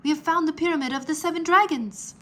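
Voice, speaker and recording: A woman's voice: high voice, quick pace, fairly bright tone, pitched above the performer's own voice. Woman as earnest commanding boy dragon master. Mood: excited.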